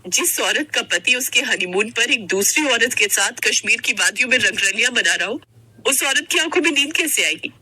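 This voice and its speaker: A woman's voice: a little high, lively intonation, normal speed, bright, forceful tone, husky, exaggerated voice, poor audio. A woman voicing a young newlywed wife in a story, hurt and polite but turning defiant, speaking with dramatic expression. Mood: hurt, angry.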